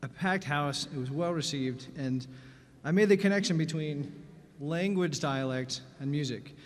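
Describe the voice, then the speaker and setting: A man's voice: fairly high pitch, normal speed. A man from Vermont, a fiddler and bandleader, telling easygoing stories to a concert audience between tunes, with plenty of "uh"s. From Vermont, New England. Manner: easygoing and folksy, with many "uh"s. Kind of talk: storytelling stage patter between tunes. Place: concert stage. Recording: long reverb of a concert hall.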